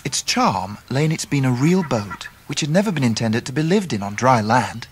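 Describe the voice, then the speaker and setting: A man plays a gentleman looking back on his boyhood in a radio dramatisation. His is measured and literate, at medium pitch. Faint noise sits behind the narration. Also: sing-song, natural voice. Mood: reminiscent. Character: grown gentleman fondly recalling his Victorian boyhood.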